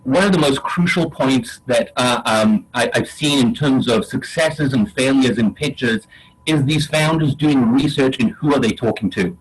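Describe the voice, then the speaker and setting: A man with a Geordie accent from Newcastle, speaking as a venture investor on a webinar panel, halting with many restarts and fillers. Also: mid-range voice, slightly rough, low-quality recording. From Newcastle, England.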